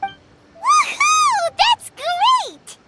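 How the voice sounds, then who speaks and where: Squeaky, excitable little fairy girl. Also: drawn-out words, very noisy, heavily acted. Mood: excited. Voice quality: squeaky, childlike. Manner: refers to self in third person, exclamatory. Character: small excitable childlike female fairy companion. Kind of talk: video game voice acting.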